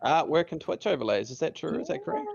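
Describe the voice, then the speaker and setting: A man's voice: fairly high pitch, huge pitch swings, fast talker, creaky, a little mellow, muffled recording, slight background noise. A man hosting a casual podcast chat, upbeat and laughing often, calling his guests buddy. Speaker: podcast host.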